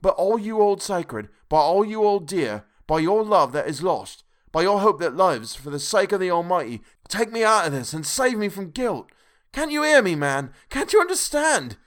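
Frantic male asylum inmate, high strained voice, loud, desperate pleading. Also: sing-song, moderate pace. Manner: desperate, pleading, rising to shrieks. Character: frantic male asylum patient begging for release. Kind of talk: audiobook character voice.

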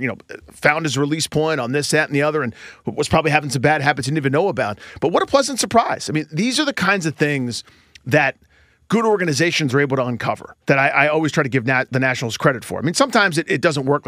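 A man's voice: fairly high pitch, animated, fast talker, a bit bright, no room sound, clean studio sound. A man co-hosting a sports podcast, chatting casually and emphatically about baseball in colloquial, hyperbolic language.

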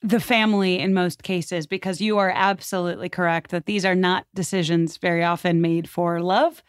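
A woman's voice: fairly low pitch, steady tone, moderate pace, smooth, no echo, studio quality. A woman talking through history as a podcast guest, conversational and informal, with an enthusiastic, opinionated manner.

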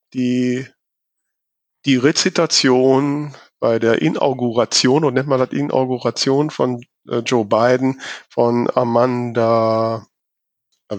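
A man's voice: medium pitch, no room sound. A man hosting a casual podcast conversation, often hesitating and searching for his words. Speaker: podcast host.

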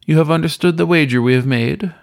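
Man voicing a suave, seductive weasel gentleman. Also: fairly high pitch, quick pace, vocal fry, pitched above the performer's own voice. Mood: seductive, solemn. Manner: somber, whispering, courtly. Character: suave, seductive male weasel, master of a haunted hotel.

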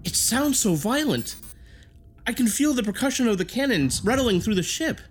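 A woman playing an anxious, brisk scientist aboard a time-travel ship in an audio drama, urgent and questioning. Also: fairly high pitch, sing-song, faint music behind. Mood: alarmed.

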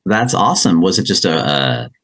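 A man's voice: deep voice, big pitch swings, moderate pace, a bit bright. A man hosting a podcast, upbeat and encouraging, asking questions with many fillers. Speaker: podcast host. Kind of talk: conversational interview.

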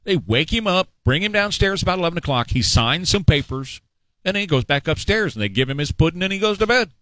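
A man's voice: high voice, lively intonation, fast, sharp tone, low-quality recording. A middle-aged man hosting a talk show, giving opinionated political commentary in a sarcastic, mocking, emphatic way.

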